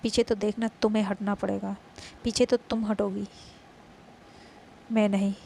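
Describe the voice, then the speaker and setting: A woman voicing a possessive young woman who speaks loudly and insistently. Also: mid-range voice, little pitch movement, moderate pace, creaky, a little hiss or hum, close to an everyday voice.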